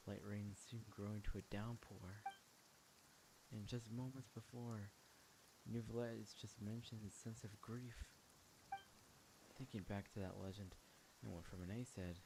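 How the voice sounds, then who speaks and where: A man's voice: on the low side, background noise. A man reading dialogue and narration aloud as he plays a video game. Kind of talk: reading game text aloud over gameplay. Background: game music.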